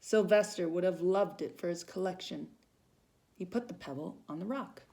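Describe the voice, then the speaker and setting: A woman volunteer reads a children's picture book aloud in an expressive, storytelling manner. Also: lowish voice, moderate pace, creaky. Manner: dramatic emphasis on feelings, with short asides to young listeners.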